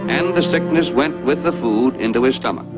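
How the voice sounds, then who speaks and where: A man's voice: medium pitch, moderate pace, loud music behind the voice. A man narrating a scripted 1940s educational film in a didactic, folksy storytelling manner. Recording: dull vintage 1940s film soundtrack.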